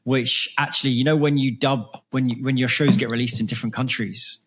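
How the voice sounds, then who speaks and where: A man's voice: mid-range voice, steady tone, fairly quick, fairly bright tone, slightly rough. A man talking casually as an interviewer and co-host.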